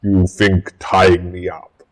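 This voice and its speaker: A man playing a proud, vengeful alien warrior commander in an audio drama, with measured, threatening delivery. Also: low-pitched, little pitch movement. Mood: grudging, threatening. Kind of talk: fan audio drama acting.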